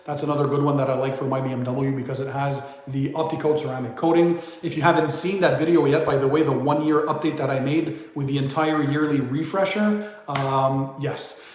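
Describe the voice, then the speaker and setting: A man's voice: medium pitch, steady tone, brisk, a little mellow. A man casually hosting a live stream, reading out viewer questions and thanking donors as he chats. English is not his first language. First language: not English; Greek is his third language. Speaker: livestream host. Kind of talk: casual livestream chat. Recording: very echoey room.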